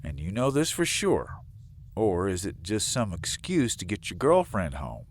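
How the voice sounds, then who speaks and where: An actor playing a plain-spoken, hot-headed but fair sheriff in an audio drama, blunt and informal. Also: male voice, sits low, wildly swooping pitch, unhurried, a little hiss or hum, exaggerated voice. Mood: combative.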